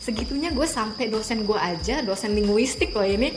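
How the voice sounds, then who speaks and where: A woman's voice: medium pitch, fairly quick. A woman in her thirties from Jakarta with a strong Betawi accent, telling stories in a casual chat and laughing often. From Jakarta, Indonesia. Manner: animated storyteller, laughs often, slangy. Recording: echoey room with background music.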